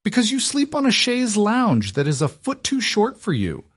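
A man voicing a guarded, cynical young noblewoman who talks briskly and erupts in fury. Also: lowish voice, animated, darkish tone, husky. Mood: furious. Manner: brisk and clipped, breaking into furious outbursts.

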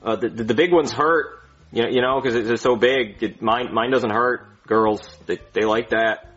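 A man's voice: mid-range voice, normal speed, fairly bright tone, natural voice. A man playing a bumbling, insecure doctor who rambles and stammers, then screams insults at himself, on a dull lo-fi recording. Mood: rambling. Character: bumbling insecure male doctor, self-loathing.

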